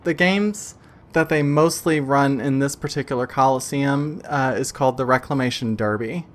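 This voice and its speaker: A man voicing a seasoned female computer-program driver in a tabletop game, measured and matter-of-fact. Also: mid-range voice, lightly acted.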